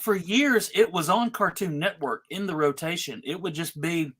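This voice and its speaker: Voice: medium pitch, normal speed, a loud crowd. A host of a live table read, chatting casually and announcing things to the audience like a jokey showman. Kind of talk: casual live chat introducing scripts.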